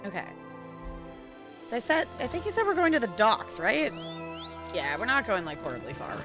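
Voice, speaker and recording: A woman's voice: fairly high pitch, huge pitch swings, moderate pace, over music. A woman game streamer giving casual, chatty live commentary and reacting to the game for her viewers.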